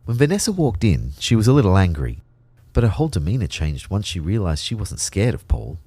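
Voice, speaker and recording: A low male voice in a dry, close recording, an anxious everyman telling his sci-fi adventure. He narrates in the first person with wry understatement, as in a scripted audio drama. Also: sing-song, a little fast, warm tone, breathy edge, dry recording, heavily acted. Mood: wry, uneasy. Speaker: narrator and lead actor.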